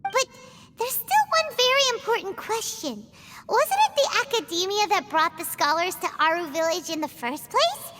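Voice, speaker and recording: A woman voicing a tiny, childlike fairy companion in a video game, naive and excitable, with a squeaky, cutesy delivery. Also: moderate pace, noisy background. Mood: curious, puzzled. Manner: squeaky, cutesy, refers to herself by name.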